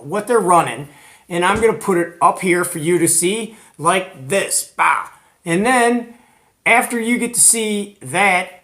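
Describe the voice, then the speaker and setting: An American man hosting a hobby video in a casual, animated, colloquial way, retelling conversations as he goes. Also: high voice. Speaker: host of a CB radio show. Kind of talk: casual hobby vlog presenting.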